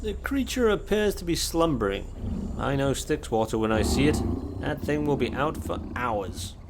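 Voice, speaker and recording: Dry, pedantic immortal doctor, lively pitch. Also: male voice, normal speed. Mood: dry, measured. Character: Dr. Alistair, grumbling, logical, centuries-old male immortal. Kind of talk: audio drama acting. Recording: noisy ambience behind the voice.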